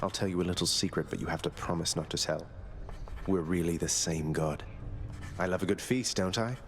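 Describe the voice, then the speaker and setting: Man as a deep, wry young underworld prince. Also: brisk, lightly acted. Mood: sly, playful. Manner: dry, understated, casual politeness. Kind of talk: video game character acting.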